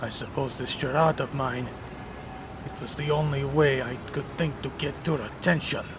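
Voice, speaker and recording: A man voicing a melancholy, lovelorn villain in a comic dub, wistful and halting, on a noisy home recording. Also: medium pitch, lightly acted. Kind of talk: comic dub dramatic acting.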